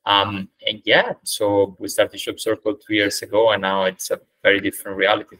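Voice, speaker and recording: A man in his thirties from Italy with an Italian accent, answering interview questions over a video call in a measured way, with many ums and uhs. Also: fairly low pitch, fairly even intonation, a bit bright, poor audio. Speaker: startup founder, guest. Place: indoors, plain room. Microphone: video call webcam.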